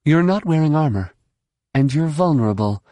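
A man's voice: mid-range voice, a little slow, mellow, dry recording, studio quality, natural voice. A man voicing a fearless, stern woman warrior with a curt, commanding manner in an audiobook. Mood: stern warning.

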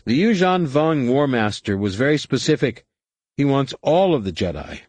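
A man's voice: medium pitch, sing-song, moderate pace, a little mellow, no echo, voice pushed up. A man voicing a nervous, patronizing older male co-pilot turned traitor in a space adventure audiobook. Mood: apologetic, insistent.

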